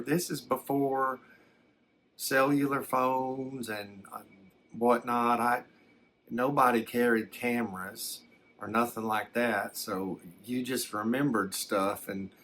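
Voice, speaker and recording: A man's voice: mid-range voice, creaky, a bit bright, a little hiss or hum, full character voice. A man playing a folksy older man with a Southern US accent, rambling hesitantly through his own tale as ASMR storytelling.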